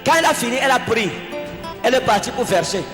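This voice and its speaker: A man's voice: very high-pitched, lively intonation, quick pace. A man preaching as a prophet at a church service, questioning a woman in short repeated questions. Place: large church hall. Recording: echoey church hall with music.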